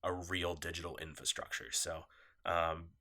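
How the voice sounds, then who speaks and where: A man's voice: low-pitched, steady tone, normal speed, vocal fry, pushed and bright. A man hosting a casual solo podcast, nasal and coughing from a cold, rambling and apologetic. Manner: rambling, apologetic, loose and laid-back. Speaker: podcast host. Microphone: phone set down nearby.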